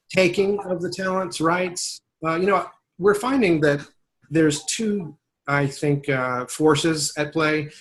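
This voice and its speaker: A man's voice: fairly high pitch, rough recording. A man working as a voiceover agent, talking in a casual webinar conversation, thoughtful and rambling, often restarting his sentences.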